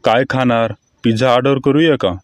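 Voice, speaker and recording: A man's voice: mid-range voice, fairly even intonation, normal speed, very noisy, natural voice. A man playing ordinary put-upon men in comic cartoon skit dialogue. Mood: dry, joking.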